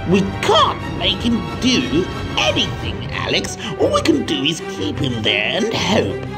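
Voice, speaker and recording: A man's voice: high voice, wildly swooping pitch, measured pace, heavily acted. A man playing a bitter, domineering old father in a comic-book drama, cursing and scornful. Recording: music behind the voice.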